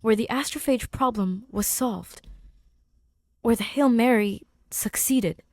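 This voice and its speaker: A woman playing an excited male scientist in an audiobook, explaining a theory emphatically and thinking aloud in pauses. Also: a little high, no echo, clean studio sound. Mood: excited realization.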